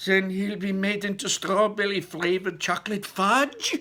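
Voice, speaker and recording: A man's voice: sits high, lively intonation, a little slow, sharp tone, voice pushed up, exaggerated voice. A man voicing a frantic, indignant storybook mother who screams and snaps in outrage. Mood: despairing. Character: shrill, frantic, indignant mother of a greedy boy.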